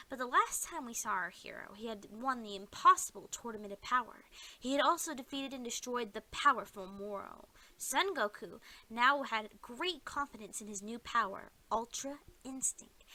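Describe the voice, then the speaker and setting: A woman's voice: on the high side, bright, forceful tone, poor audio. A woman reading scripted story narration for an audio play as its narrator.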